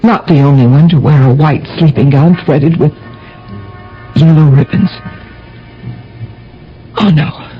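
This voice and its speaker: Grieving bossy rich lady in old radio mystery, theatrical n hesitant, real slow, muffled tape. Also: sits low. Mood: uneasy, defensive. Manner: formal, theatrical, hesitant phrasing. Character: grieving, imperious mistress of the house, anxious and doubting. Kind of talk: 1980 radio mystery drama acting, narrating and dialogue. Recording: dull old radio recording, faint music.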